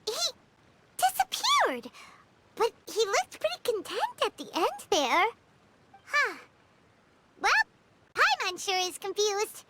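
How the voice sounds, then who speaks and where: A woman voicing a tiny, excitable fairy companion who talks about herself in the third person, in a video game. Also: very high voice, takes it slowly, pauses often, noisy background, full character voice. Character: tiny floating female fairy companion, chirpy.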